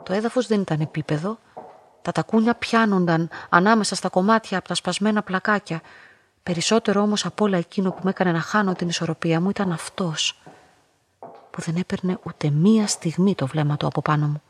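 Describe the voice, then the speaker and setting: A woman playing a lonely, submissive young narrator in a literary radio drama, speaking in a measured, introspective way. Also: lowish voice, slightly rough. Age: young adult. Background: music.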